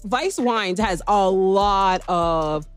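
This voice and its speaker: A woman's voice: medium pitch, very slow, clear, resonant tone, faint music behind. A woman hosting a casual interview, chatting in an enthusiastic, reactive way. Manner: enthusiastic, reactive, laughs easily. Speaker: interview host. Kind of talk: casual chat.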